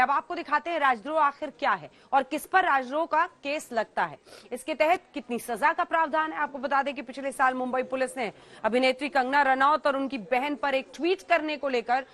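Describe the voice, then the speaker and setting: A woman's voice: high voice, fairly quick, a bit bright. A woman news anchor presenting a scripted special report.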